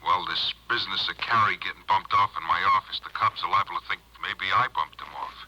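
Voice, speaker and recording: Sharp, low-voiced shifty crook. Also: male voice, fairly quick, heavily acted. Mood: edgy. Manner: slangy, evasive, hesitant. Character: shifty male crook on the run, wheedling. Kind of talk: 1950s radio crime drama acting. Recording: dull old radio broadcast on cassette.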